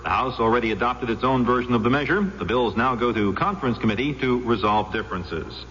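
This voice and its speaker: A man's voice: medium pitch, brisk, a bit bright, slight background noise. Male news reporter, formal bulletin reading, long reverb, muffled vintage radio recording. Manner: formal, matter-of-fact.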